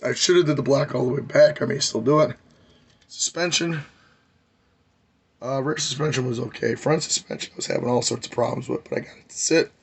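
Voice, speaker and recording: Hoarse man with a gravelly voice. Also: mid-range voice, a little fast. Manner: rambling, enthusiastic, many fillers. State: hoarse, losing his voice. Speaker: model car builder. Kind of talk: casual hobby update.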